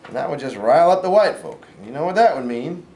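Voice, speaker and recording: A man's voice: on the high side, big pitch swings, fast, a little mellow. A man playing a stern, folksy former governor and father in a staged play reading. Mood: troubled. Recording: roomy, distant, faint noise.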